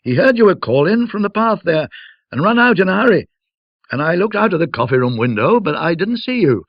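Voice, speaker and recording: Man putting on a high-pitched servant woman. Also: big pitch swings, fairly quick, no echo, highs cut off, full character voice. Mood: flustered, chatty. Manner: chatty, rustic, ungrammatical. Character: excitable female servant at a seaside inn.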